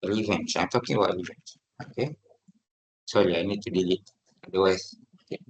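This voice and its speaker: Lecturer, man, malaysian english accent, middle-aged, lively classroom talk, keeps checking if students follow. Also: sits low, brisk, low-quality recording. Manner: lively, asks the class for replies often. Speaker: university lecturer.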